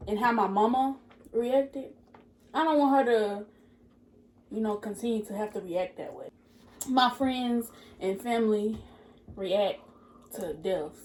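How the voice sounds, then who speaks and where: A woman's voice: a little high, slow pace, long pauses, darkish tone, a little hiss or hum, rough recording. Young woman chatting candidly over food. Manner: candid, laughs often, talks with her mouth full. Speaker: co-host. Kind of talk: casual chat while eating.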